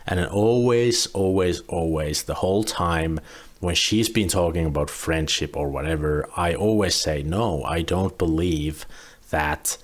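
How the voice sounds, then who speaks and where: A young man, relaxed and rambling, commenting on a video clip he is reacting to. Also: deep voice, a little slow. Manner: relaxed, rambling with frequent restarts.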